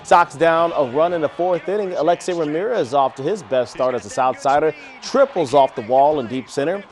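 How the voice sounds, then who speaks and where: Male TV sports anchor calling highlights. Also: lively intonation, a little fast. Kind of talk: scripted sports newscast with highlight commentary.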